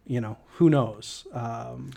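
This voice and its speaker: A man's voice: mid-range voice, slow pace, creaky, a crowd in the background. A man co-hosting a podcast in casual chat, talking in a rambling, hesitant way with joking asides. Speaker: podcast co-host.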